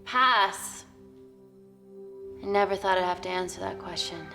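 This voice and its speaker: A woman's voice: on the low side, unhurried, vocal fry. An actress playing a troubled, determined woman scientist in a film thriller. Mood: guarded. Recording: long hall reverb, faint film music.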